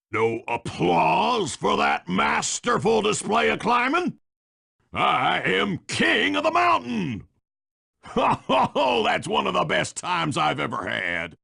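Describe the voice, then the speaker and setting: An actor voicing a boastful, bullying old-time lumberjack villain in a cartoon game, raspy and taunting with a folksy rustic North American drawl. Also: male voice, fairly low pitch, fairly bright tone, dry recording, clean studio sound, exaggerated voice. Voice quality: gravelly, raspy.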